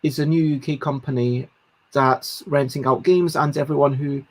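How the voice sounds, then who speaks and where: A woman's voice: rumbling low, fairly even intonation, normal speed, a little mellow. A woman hosting a casual solo livestream, chatting about board games in a rambling way with lots of ums and self-corrections. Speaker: livestream host.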